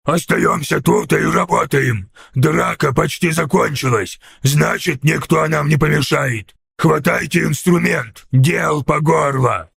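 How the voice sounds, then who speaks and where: A man voicing a cocky, bossy orc mechanic boss in an audiobook, raspy and slangy. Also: fairly high pitch, slow, few pauses, fairly bright tone, dry recording, clean studio sound, full character voice. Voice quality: gravelly, raspy. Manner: slangy, swaggering.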